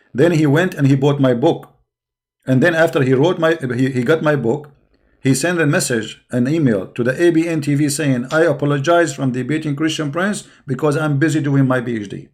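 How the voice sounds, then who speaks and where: A man with a fairly high voice and Arabic as his first language hosts a religious debate. He argues insistently and combatively, hammering his phrases again and again for emphasis. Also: moderate pace. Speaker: debate show host. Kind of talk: argumentative religious debate with a caller.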